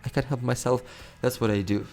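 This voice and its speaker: Gravelly man rambling over a city build. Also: mid-range voice, fairly even intonation, brisk, darkish tone, soft background music. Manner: rambling, self-correcting, chuckles often. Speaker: let's play host. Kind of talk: casual gameplay commentary.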